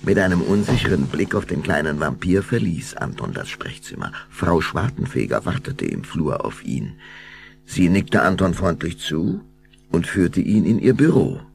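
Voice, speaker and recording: A male narrator tells a spooky children's story in a deep voice. His intonation swings widely to build suspense, with some gravel in the tone. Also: big pitch swings, normal speed, noisy background, full character voice. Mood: suspenseful.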